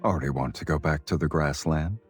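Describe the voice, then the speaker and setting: A suave romantic lead from a romance game, with an extremely low voice. He is confident, affectionate and teasing, talking intimately and without hurry over faint music. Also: a little fast, slightly rough. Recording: music behind the voice.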